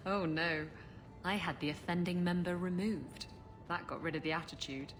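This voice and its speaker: A woman's voice: fairly low pitch, normal speed, slight background noise, natural voice. A woman playing a brash, flirtatious pirate captain in a video game, saucy and playful. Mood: brash, bawdy. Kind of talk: video game cutscene acting.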